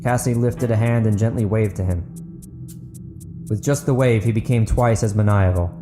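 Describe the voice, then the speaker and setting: A man's voice: on the low side, moderate pace. A man narrating and hosting an audiobook fiction podcast. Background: guitar music.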